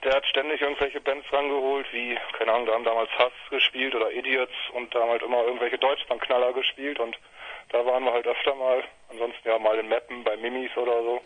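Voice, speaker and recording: A man's voice: medium pitch, level tone, fast, fairly bright tone. A man in his thirties from northwestern Germany, a fanzine maker talking casually over the phone, rambling and hesitant with fillers. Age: 30s. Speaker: fanzine maker, interview guest. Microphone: telephone. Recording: tinny phone line, husky.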